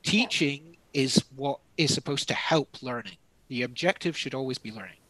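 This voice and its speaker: A man's voice: mid-range voice, moderate pace, fairly bright tone, dry recording, low-quality recording. A man presenting a live webinar in casual, self-deprecating chat, his mouth dry from talking. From Scotland. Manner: self-deprecating, chatty, digressive. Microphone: video call.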